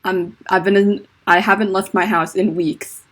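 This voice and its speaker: A woman with a deep voice and a lisp chats casually on a livestream from home, rambling and self-conscious, with lots of fillers. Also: steady tone, moderate pace, fairly bright tone. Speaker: livestreaming fanfiction writer. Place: at home indoors. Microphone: laptop mic.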